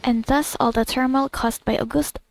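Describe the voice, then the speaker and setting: High-voiced giggly woman gamer, slightly creaky. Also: steady tone, normal speed, slight background noise. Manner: giggly, reads game text aloud between reactions. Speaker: gamer recording a story quest playthrough. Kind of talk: casual reactive gameplay commentary. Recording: game music behind the voice.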